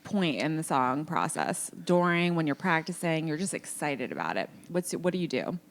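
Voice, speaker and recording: Low-voiced woman with noisy recording. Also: animated, normal speed. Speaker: podcast interviewer. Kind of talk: casual interview chat. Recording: clearly audible background noise.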